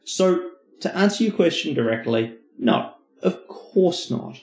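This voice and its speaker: A man's voice: on the high side, lively intonation, a little slow, a little mellow. Emphatic man in an echoey room. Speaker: podcast co-host. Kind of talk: conversational expert explanation. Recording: roomy, echoing voice recording.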